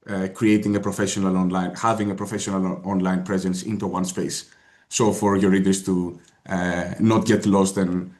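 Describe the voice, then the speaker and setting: A man with a Greek accent and a fairly low voice gives a webinar presentation over a video call. He speaks hesitantly, pausing on filler sounds and restarting his sentences. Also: darkish tone. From Greece. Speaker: guest presenter.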